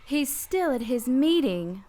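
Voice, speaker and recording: A woman's voice: sits high, sing-song, takes it slowly, dark tone, heavily acted. A woman playing a mysterious, anxious married woman in a noir radio play, earnest and guarded.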